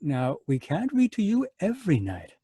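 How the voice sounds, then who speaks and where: A soothing, firm asylum doctor voiced by a man, with a slightly husky voice that rises and falls a lot. Close, dry radio drama sound. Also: high-pitched, wildly swooping pitch, moderate pace, dark tone, no room sound, heavily acted. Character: calm, patient male asylum doctor. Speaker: asylum superintendent.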